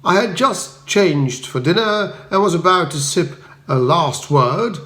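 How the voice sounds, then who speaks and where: A man with a fairly high voice narrates a comic audiobook. His delivery is measured and wry with a mock-grand air, recorded in an echoey room. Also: expressive, a bit bright. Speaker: audiobook reader. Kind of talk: comic first-person scripted narration. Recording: roomy echo.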